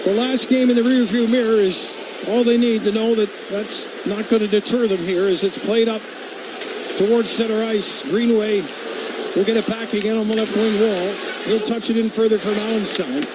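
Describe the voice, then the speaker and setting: High-pitched male hockey play-by-play announcer. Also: moderate pace. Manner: clipped running description, excitable on big plays. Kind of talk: live hockey radio play-by-play commentary. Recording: clear arena noise behind. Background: hockey arena noise.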